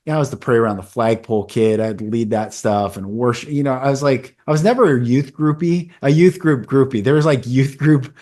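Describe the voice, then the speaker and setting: A man's voice: mid-range voice, brisk, hardly any pauses, a little mellow. A man in his forties hosting a podcast, telling a personal story in an earnest, candid, casual way. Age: 40s. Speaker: podcast host. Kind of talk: casual conversation.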